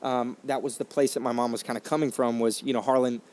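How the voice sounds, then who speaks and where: A young man in his twenties speaks into a condenser microphone as he hosts his own podcast. His voice sits at an everyday middle pitch. He is earnest and reflective, and often circles back with fillers and restarts as he tells his story. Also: steady tone, fast talker, a little mellow. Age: 20s. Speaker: podcast host. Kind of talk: casual storytelling monologue.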